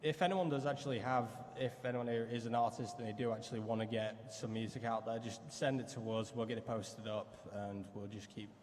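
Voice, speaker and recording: A man's voice: mid-range voice, steady tone, a little fast, hardly any pauses, darkish tone. A man, a DJ on a convention panel, talking in a rambling, casual way full of fillers. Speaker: DJ and music page runner. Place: convention hall stage. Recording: hall reverb, faint noise.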